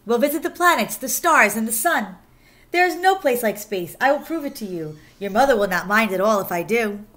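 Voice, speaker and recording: A woman teacher reading a rhyming children's picture book aloud in an animated, sing-song way, stressing key words. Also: on the high side, a little fast.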